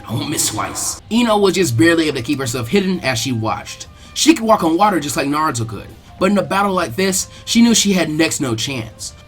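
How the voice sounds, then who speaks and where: A man narrates a story in a dramatic audiobook style. His voice sits a little high for a man, and music plays behind him. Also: big pitch swings, a little fast, hardly any pauses. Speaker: fan fiction reader and narrator.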